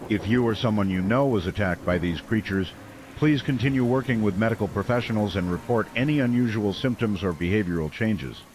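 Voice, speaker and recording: An actor playing a small-town late-night radio host, measured, serious and a little skeptical. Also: male voice, lowish voice, a little mellow, a little hiss or hum, natural voice, rough recording. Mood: grave. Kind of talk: radio drama call-in hosting.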